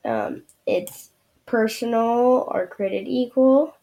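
Woman, show host, casual walkthrough, rambling with fillers, mellow dark tone, vocal fry. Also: fairly high pitch, big pitch swings, slow, highs cut off. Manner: rambling, loose, many fillers and trailing "yeah". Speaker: podcast host. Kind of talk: casual screen-share review.

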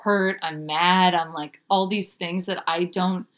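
A young woman speaking candidly as an interview guest, chatty with lots of fillers and restarts, her pitch jumping up when she gets animated. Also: takes it slowly. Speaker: author and musician guest.

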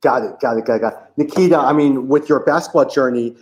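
A man's voice: mid-range voice, fast, darkish tone. A man chatting casually as an interviewer, asking his guest questions. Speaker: interviewer, host.

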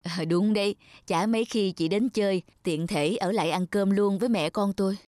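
A woman voicing a polite, neighbourly market woman in an animated moral tale, courteous and conversational. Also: medium pitch, normal speed, no room sound, clean studio sound, close to an everyday voice. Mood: welcoming. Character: market women and a young orange seller, polite, neighbourly. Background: faint music.

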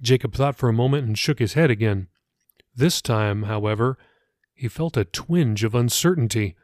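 A man's voice: mid-range voice, moderate pace, a little mellow, no room sound, studio quality. A man reading his own novel as scripted audiobook narration for a podcast.

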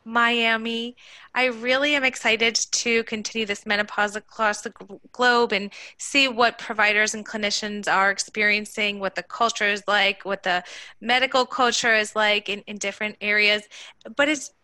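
Bright-toned woman podcast host. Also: medium pitch, steady tone, normal speed, dry recording. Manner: warm, affirming, conversational. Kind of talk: podcast interview. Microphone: remote call from her kitchen.

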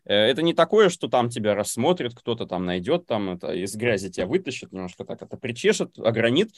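Animated man talking with lots of hesitations. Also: medium pitch, fast talker, no echo, clean studio sound. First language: Russian. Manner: animated, hesitant with many filler sounds. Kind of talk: casual conversation.

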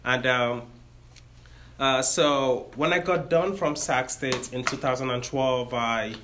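A man's voice: mid-range voice, takes it slowly, noisy background. A man in his thirties with a Nigerian accent, giving a friendly career talk to students and explaining step by step.

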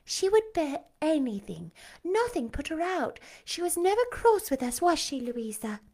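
A woman reading aloud, voicing a meek young girl with a soft, childlike voice who chatters gently. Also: sits high, very animated, normal speed, voice pushed up. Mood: gossipy.

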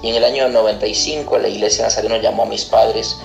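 A man's voice: on the low side, steady tone, fast, gravelly. A man in his thirties, raised in Costa Rica and born in Argentina, explaining his work as a pastor in an interview. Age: 30s. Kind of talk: recorded interview answer, explanatory. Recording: echoey room, music underneath.

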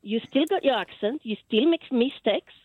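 A woman's voice: fairly high pitch, animated, moderate pace, no room sound. A woman caller with a French accent, a native French speaker who talks hesitantly and searches for her words. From France. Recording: phone line.

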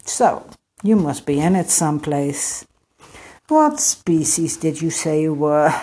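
A woman voicing a huge, pompous grandfather frog god in a storybook, measured and matter-of-fact. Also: deep voice, expressive, warm tone, breathy edge, heavily acted. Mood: self-important.

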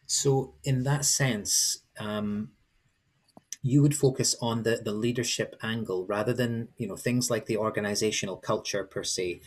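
A man's voice: on the low side, fairly even intonation, unhurried. Male podcast host, conversational. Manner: conversational, asks long winding questions. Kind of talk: podcast interview.

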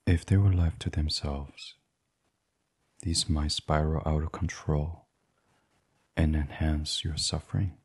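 A man gently and soothingly reading a guided sleep meditation in a breathy, husky voice, as the host. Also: extremely low pitch, fairly even intonation, slow, pauses often.

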